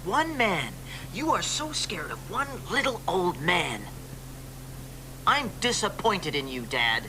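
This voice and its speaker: An actor playing an idealistic young adult son who pleads and argues earnestly in a radio drama. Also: male voice, sits high, sing-song, slow, full character voice. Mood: defiant. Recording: hissy broadcast recording.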